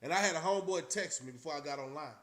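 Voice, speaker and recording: A man's voice: a little high, rapid-fire, fairly bright tone. A man hosting a casual live-stream chat, talking loosely and rambling with frequent restarts. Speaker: channel host.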